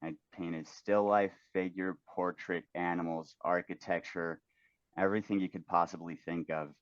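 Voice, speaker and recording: A man's voice: low voice, fairly even intonation, normal speed, dry recording, dull audio. A man, speaking as an interview guest in a friendly, easygoing way. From Mexico. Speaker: guest painter. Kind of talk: relaxed interview talk.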